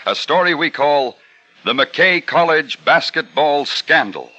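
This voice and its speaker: A man announcing a radio drama in a formal, measured 1950s style. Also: a little high, lively intonation. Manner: measured, stately delivery. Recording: dull old radio broadcast with loud music.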